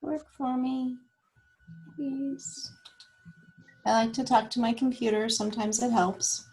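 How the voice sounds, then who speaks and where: A woman giving an informal walkthrough of data, thinking aloud as she goes in a halting way. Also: fairly high pitch, fairly even intonation, darkish tone. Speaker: guest presenter explaining data; cancer research nurse.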